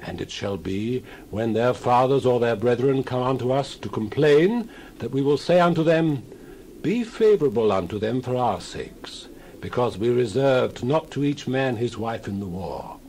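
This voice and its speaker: Solemn male biblical elder, played by an actor, declamatory scripture drama, mid pitch, faint ambience behind. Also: animated, moderate pace, a little mellow, a little hiss or hum, close to an everyday voice, poor audio. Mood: instructing. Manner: declamatory, archaic King James phrasing. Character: solemn male elder of ancient Israel's congregation, counselling. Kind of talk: dramatized Bible reading.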